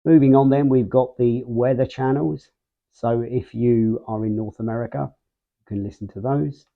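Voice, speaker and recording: A man's voice: medium pitch, steady tone, normal speed, vocal fry, phone-quality sound. A man hosting a casual product review, explaining things step by step. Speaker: host of a radio gear review channel.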